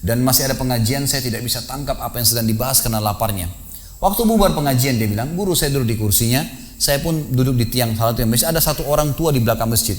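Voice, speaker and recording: Fast-talking male preacher telling a story. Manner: animated storytelling, acts out dialogue with rising pitch. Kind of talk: mosque sermon storytelling. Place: mosque gathering.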